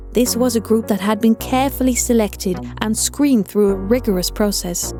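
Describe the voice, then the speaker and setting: A woman's voice: medium pitch, warm tone. A woman reading scripted audio fiction as a story narrator, with music behind her.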